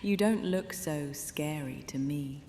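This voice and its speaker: A woman's voice: slow, mellow. A woman playing a provocative, teasing noblewoman, languid and half-whispered, in an audio drama. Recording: echoey hall, faint rumble.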